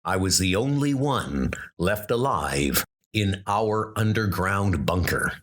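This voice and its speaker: A man's voice: low-pitched, lively intonation, slow pace, a bit bright, exaggerated voice. A man voicing the grave male leader of a band of knights, a former soldier who speaks in a plain, somber way.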